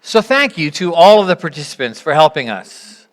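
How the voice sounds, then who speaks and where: Woman giving a church sermon, earnest n measured, lots of reflective questions and pauses. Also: sits low, big pitch swings. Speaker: church speaker leading an interactive service. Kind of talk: sermon, reflecting on a parable and addressing the congregation.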